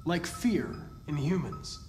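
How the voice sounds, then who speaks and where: Young android detective in a video game, high voice, clinical n precise, roomy sound w music. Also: male voice, lively intonation, slow. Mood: probing, reassuring. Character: composed young male android investigator, coolly analytical and persuasive. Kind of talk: cinematic video game acting.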